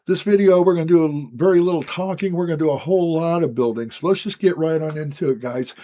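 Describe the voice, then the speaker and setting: Hoarse raspy-voiced man, mellow tone. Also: a little high, quick pace. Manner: relaxed, chatty, frequent "guys" and "um". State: hoarse, recovering from lost voice. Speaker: scale model building host. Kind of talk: casual step-by-step building walkthrough.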